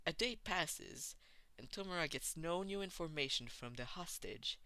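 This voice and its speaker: A narrator reading fan fiction aloud in an expressive storytelling style. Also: mid-range voice, moderate pace, no echo.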